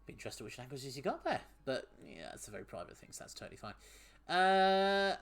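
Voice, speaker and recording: A man's voice: medium pitch, big pitch swings, fairly quick. A man streaming a game, chatting with his viewers, often laughing and sometimes tripping over words. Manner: chatty, laughs often, reads chat aloud; trips over words at times. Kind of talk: casual gaming stream commentary.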